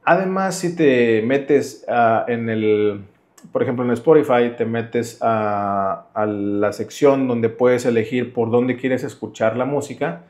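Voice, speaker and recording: A man's voice: mid-range voice, slow, a little mellow. A man with a Mexican accent presenting a casual step-by-step tutorial, speaking directly to the viewer in an instructional way. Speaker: motorcycle vlogger and presenter.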